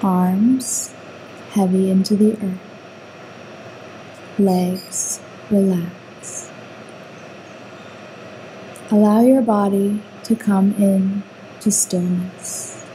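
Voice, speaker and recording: A woman guiding a sleep meditation with soothing, unhurried instructions and long pauses between phrases. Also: mid-range voice, fairly even intonation, dark tone, a little hiss or hum, low-quality recording. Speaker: meditation guide.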